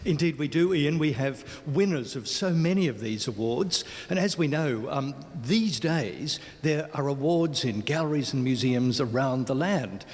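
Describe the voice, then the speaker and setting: A man's voice: mid-range voice, expressive, unhurried, few pauses, darkish tone. A man with an Australian accent giving articulate, considered explanations in an interview, in wordy phrases with asides. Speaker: gallery spokesperson, interviewee. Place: large indoor gallery space. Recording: reverberant gallery hall.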